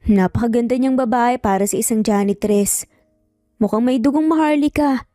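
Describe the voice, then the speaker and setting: A man's voice: extremely high pitch, normal speed, dark tone, no echo, clean studio sound, soft background music. A woman voicing an amused, curious and admiring wealthy male investor in an audio story.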